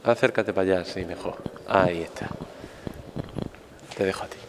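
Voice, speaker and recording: A man moderating a question round, brisk and offhand, organizing the room. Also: low-pitched, fairly even intonation. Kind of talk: casual event moderation. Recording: echoey hall with faint noise.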